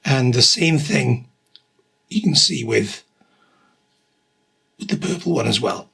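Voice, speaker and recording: A middle-aged man with a husky voice and a slight cough, talking through his treatment in a rambling, matter-of-fact video diary. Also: medium pitch, sing-song, takes it slowly, pushed and bright. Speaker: vlogger documenting his cancer treatment.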